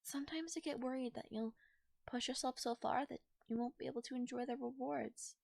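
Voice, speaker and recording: Affectionate girlfriend, played by a woman, comfort audio roleplay. Lightly high pitch, soothing and intimate, very dry close-mic sound. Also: fairly even intonation, a little fast, a little mellow, no echo. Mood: soothing, concerned. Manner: tender, soothing, coaxing, breathy near-whispers at times. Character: caring, affectionate girlfriend comforting a tired partner. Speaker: voice actor. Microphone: close microphone in a dry space.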